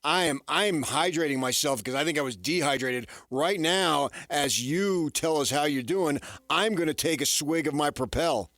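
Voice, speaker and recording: A man's voice: on the high side, moderate pace, hardly any pauses, a bit bright, no echo. Emphatic male sports radio host, dry studio sound. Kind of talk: casual sports talk interview.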